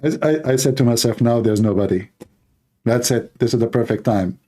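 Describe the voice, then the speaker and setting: A man who grew up in the Middle East speaks with the accent of a native Arabic speaker. His voice is dark and relaxed, at an ordinary male pitch. He talks off the cuff to live stream viewers in a blunt, direct way. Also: brisk. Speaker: live stream host, religious apologist. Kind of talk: informal live stream talk.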